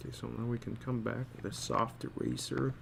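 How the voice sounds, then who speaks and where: Man, creaky voice, unhurried pace, casual tutorial murmur, thinking aloud, some background noise. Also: medium pitch, level tone. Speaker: storyboard artist giving a drawing tutorial.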